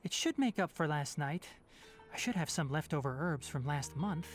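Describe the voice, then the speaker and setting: A man playing a young, practical forest ranger in a video game, speaking in a measured, precise, instructive way. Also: a little high, animated, close to an everyday voice. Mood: mildly fussy. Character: young male fox-eared forest ranger, calm, practical, fastidious. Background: game music.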